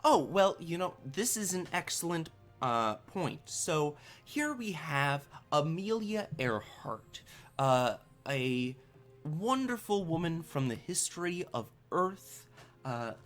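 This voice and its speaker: A man's voice: a little high, very animated, takes it slowly, fairly bright tone, soft background music, exaggerated voice. A man voicing an evasive, officious museum tour guide in a tabletop game, with formal, hesitant, stammering speech. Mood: proud, flustered.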